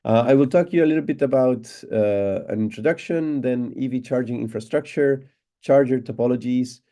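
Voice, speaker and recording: A man from Chile with a Chilean Spanish accent, giving an online lecture in a relaxed way, with frequent repeated words and fillers. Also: mid-range voice, fairly quick. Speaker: guest lecturer. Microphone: computer mic on a video call.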